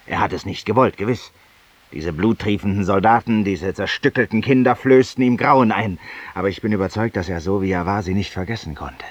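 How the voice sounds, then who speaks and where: A man's voice: fairly low pitch, fairly quick, no echo, natural voice. An actor playing a world-weary, eloquent, guilt-ridden man who confesses intimately to an unseen companion in a 1950s radio drama monologue. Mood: anguished, accusing. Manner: intimate, rhetorical, addressing an unseen companion, sometimes dropping to a whisper. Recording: muffled, distant 1950s radio recording.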